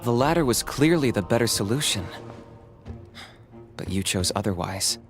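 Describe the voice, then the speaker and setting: An actor playing a cool, shrewd, level-headed man in an anime video game, speaking in a measured, probing way. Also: lowish voice, lively intonation, natural voice. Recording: music under the voice. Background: game music.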